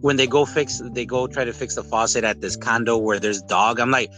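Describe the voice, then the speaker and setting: A man in a casual, rambling podcast-style chat reviewing a film, with animated retellings. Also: mid-range voice, brisk, soft background music, poor audio. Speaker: podcast host.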